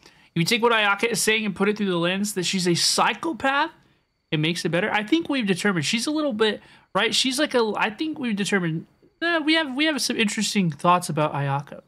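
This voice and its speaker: A woman's voice: medium pitch, very animated, fairly quick, a bit bright. A woman live-streaming a game with casual, playful, teasing reaction commentary, often repeating her phrases.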